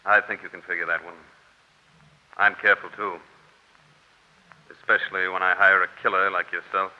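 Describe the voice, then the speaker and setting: Guy doing a menacing old-radio crime boss, low careful voice, muffled sound. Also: flat delivery, natural voice. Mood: smooth, businesslike menace. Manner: measured, formal, menacing. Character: cold, careful male crime boss hiring killers. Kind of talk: 1940s radio acting.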